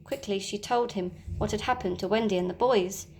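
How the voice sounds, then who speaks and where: A woman teacher reading a children's storybook aloud, with expressive, dramatic storytelling. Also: medium pitch, a little fast, vocal fry, poor audio.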